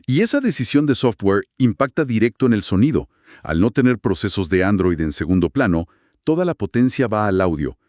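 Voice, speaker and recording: A man's voice: fairly low pitch, lively intonation, fairly quick, darkish tone, no room sound, studio quality. A man co-hosting a conversational explainer, speaking in an emphatic, explanatory way. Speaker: co-host of an audio gear review discussion. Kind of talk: conversational podcast-style explainer.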